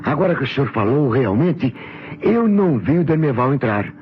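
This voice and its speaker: A Brazilian actor playing an excitable, flustered doctor giving hesitant testimony in an old radio mystery. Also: male voice, mid-range voice, moderate pace, lightly acted. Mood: flustered, agreeing. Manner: animated, hesitant admissions. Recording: dull, noisy old radio recording.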